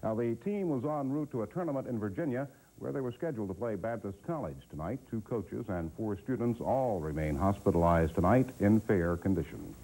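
Male news anchor, middle-aged, low mellow voice, studio read. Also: lively intonation, brisk, few pauses, low-quality recording. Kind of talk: scripted news reading.

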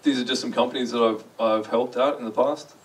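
A young man with an Australian accent talks casually to a conference crowd, joking at his own expense and asking questions. His voice sits at a middle pitch. The recording places him a little back from the mic in a large dark lecture hall. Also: fairly even intonation, fairly quick, far from the mic. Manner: chatty, self-deprecating, asks the audience questions. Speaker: conference speaker.

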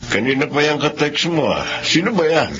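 A man's voice: a little high, big pitch swings, moderate pace. An actor playing a fond, coaxing middle-aged father with a Filipino accent in a radio drama. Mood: probing. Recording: lo-fi audio with faint noise.

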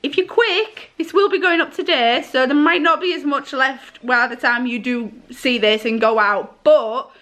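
A woman, chatting casually to a handheld camera with a croaky voice from a cold, rambling with lots of ums. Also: high-pitched, normal speed, few pauses, fairly bright tone. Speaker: family vlogger. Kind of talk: casual vlog chat to camera. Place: home kitchen, then shops. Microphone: handheld camera at arm's length.

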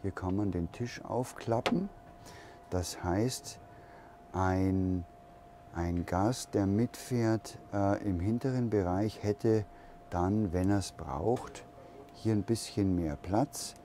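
A man giving a relaxed, unhurried walkaround tour of a camper van, thinking aloud as he goes, recorded inside the van at a trade fair hall. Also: on the low side, a little hiss or hum.

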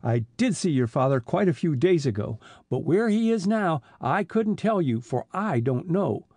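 A man voicing a slow-witted, long-winded middle-aged truck driver who talks in a plodding, folksy way. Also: on the high side, sing-song, darkish tone, no room sound.

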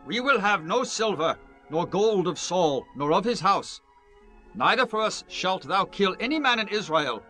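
High, sharp, vengeful tribesman. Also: big pitch swings, unhurried, soft background music, heavily acted. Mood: stern refusal. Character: aggrieved Gibeonite man, stern and vengeful spokesman. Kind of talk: dramatized scripture reading.

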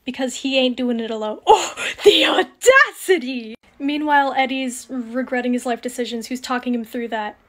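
A young woman with a high voice chats casually as she plays a reaction game. She is playful and bursts into theatrical exclamations, recorded at home on a phone. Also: moderate pace. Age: young adult. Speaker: content creator. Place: home kitchen.

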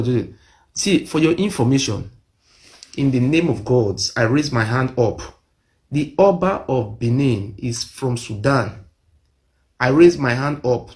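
Insistent Nigerian man, slow and emphatic. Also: mid-range voice, a little mellow. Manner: emphatic, repeats claims insistently. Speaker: self-made video speaker. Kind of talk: impassioned monologue to camera. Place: small room indoors. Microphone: phone or webcam close to face.

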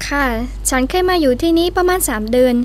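A woman's voice: high-pitched, racing, close to an everyday voice. A woman playing a young travel journalist in a radio drama. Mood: friendly, helpful. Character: young female travel journalist, reserved but helpful.

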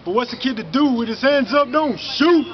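A young man in his twenties performing spoken word poetry outdoors, rhythmic and rhyming, building to a forceful delivery. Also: very high voice, expressive, moderate pace, dull audio. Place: outdoors in front of a city mural.

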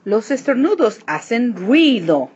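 A woman reading a children's picture book aloud, switching between hushed and emphatic delivery. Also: on the high side, big pitch swings, slow. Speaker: read-aloud narrator. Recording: dull lo-fi recording, clear background noise.

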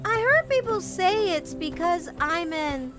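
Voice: very high voice, lively intonation, unhurried, music in the background. An actor voicing an innocent, polite little orphan boy with a childlike, earnest manner in an audio roleplay. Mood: innocent, friendly.

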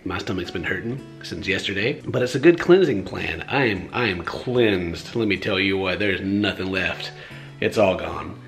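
Young dad vlogger, lively up-and-down voice, rambling, self-deprecating, handheld camera at home. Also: male voice, moderate pace, faint music behind. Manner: rambling and self-deprecating, shifts into high baby talk. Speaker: vlogger, father. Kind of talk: casual family vlog chat. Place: home interior, bedroom and living room. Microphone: handheld camera at arm's length.

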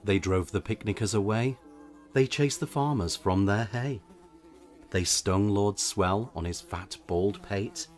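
A man narrating a children's storybook in verse, with theatrical, measured delivery. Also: on the low side, a little mellow, faint music behind. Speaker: audiobook narrator.

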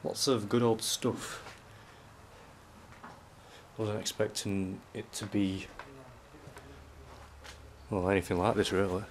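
A very low-pitched man talks casually as he explores, dropping understated, murmured remarks like a vlogger. The handheld camera audio carries clear noise and small clicks. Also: steady tone, takes it slowly, long pauses. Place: inside a derelict house. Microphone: handheld camera microphone.

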